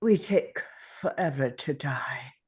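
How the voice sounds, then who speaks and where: An actor playing a dying, grim and weary alien Time Lord in a dramatic script read, with a halting, pained delivery full of sighs. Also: medium pitch, animated, breathy edge.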